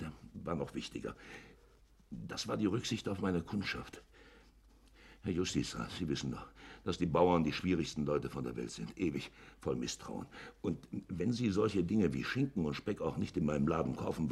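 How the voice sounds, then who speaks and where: Shifty village innkeeper, gravelly and husky. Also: male voice, low-pitched, darkish tone, exaggerated voice. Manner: hesitant, wordy, self-justifying pleading. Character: shifty, smooth-talking village innkeeper hiding a crime. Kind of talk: German radio play acting.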